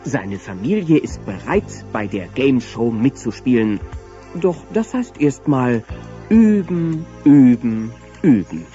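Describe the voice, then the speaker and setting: A man's voice: mid-range voice, big pitch swings, slow, dark tone. A man narrating a scripted audio play as a storyteller. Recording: dull cassette with faint music.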